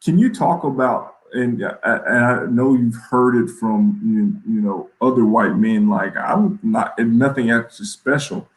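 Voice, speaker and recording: A man hosting a panel discussion conversationally, putting questions in a halting, roundabout way. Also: mid-range voice. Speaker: host, moderator. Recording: echoey room sound.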